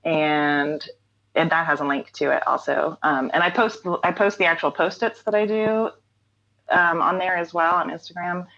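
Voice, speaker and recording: Low-voiced woman, relaxed and laughing. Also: moderate pace, highs cut off. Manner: relaxed, laughs often, many fillers. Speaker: interview guest, writer and songwriter. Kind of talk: casual interview chat.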